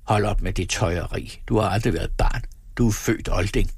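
A man voicing a sharp-tongued, scornful wife in a storybook reading, blunt and needling in manner. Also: lowish voice, husky, dry recording, clean studio sound.

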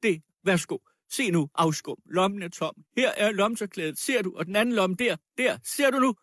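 A man voicing a frantic, anguished sick widow in a storybook reading, hoarse and tearful. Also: high-pitched, expressive, fairly bright tone, no echo, clean studio sound, voice pushed up. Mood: furious. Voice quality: hoarse, plaintive. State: crying.

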